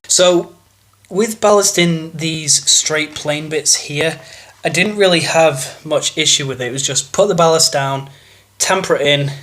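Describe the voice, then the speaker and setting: A man's voice: a little high, unhurried, fairly bright tone. A young man with a stuffy, bunged-up voice from a cold, walking through his model railway layout in a rambling, casual way. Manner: rambling, thinks aloud with fillers and restarts. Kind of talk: casual show-and-tell walkthrough.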